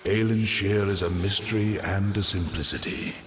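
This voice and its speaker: An actor playing an ancient, wise giant tree in a fantasy game, speaking in a solemn, cryptic and stately manner. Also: male voice, on the low side, steady tone, moderate pace, long reverb tail, a little hiss or hum, lightly acted. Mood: solemn, watchful. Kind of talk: scripted fantasy game dialogue.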